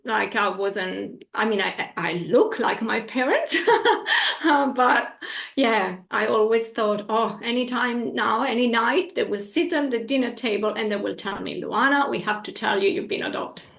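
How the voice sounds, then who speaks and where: A woman in her forties from Italy with an Italian accent, a guest telling her story in a lively, animated way, laughing often between many fillers. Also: on the high side, a little slow, vocal fry. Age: 40s. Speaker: guest, hypnosis practitioner. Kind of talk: casual interview chat.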